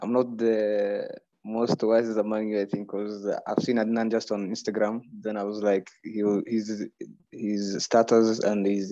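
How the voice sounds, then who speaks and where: Low-voiced man on a muffled call. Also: warm tone. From Kenya. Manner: casual, halting and loosely phrased. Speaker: podcast guest. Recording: dull low-fi call audio.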